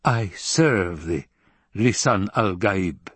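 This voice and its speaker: A man's voice: lowish voice, animated, slow pace, a bit bright, husky, no room sound, full character voice. A man voicing a weary, bitter military commander in an audiobook, terse, sour and guarded. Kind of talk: audiobook character dialogue.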